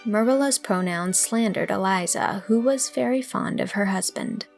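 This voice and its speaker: A woman narrating an audiobook drama in a storytelling style, reading both the description and gentle dialogue. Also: medium pitch, moderate pace, gravelly, faint music behind.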